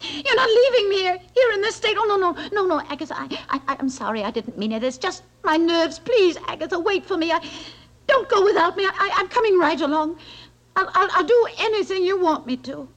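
An actress playing a timid, prim middle-aged spinster schoolteacher who stammers and frets in a stage monologue. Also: female voice, on the high side, animated, slow, long pauses. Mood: pleading, panicked. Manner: fluttery, stammering, self-pitying.

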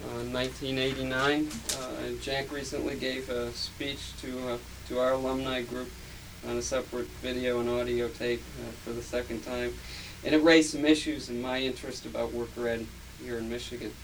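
A man's voice: medium pitch, little pitch movement, normal speed, hardly any pauses, distant mic. Hesitant male interviewer, tape hiss. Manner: hesitant, with fillers. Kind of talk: oral history interview.

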